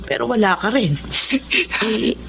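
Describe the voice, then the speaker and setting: An actress playing a kindly, conciliatory middle-aged mother, speaking gently in a Tagalog radio drama. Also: fairly high pitch, expressive, a little slow, creaky, noisy background. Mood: gentle, reassuring.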